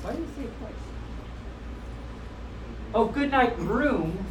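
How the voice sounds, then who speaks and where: A woman's voice: on the high side, animated, slow pace. A woman reading storybooks aloud live, with playful, wry asides as she breaks off to comment on the text. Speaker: storyteller reading picture books to a live group. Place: live session at a convention. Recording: roomy, distant live event recording with clear noise.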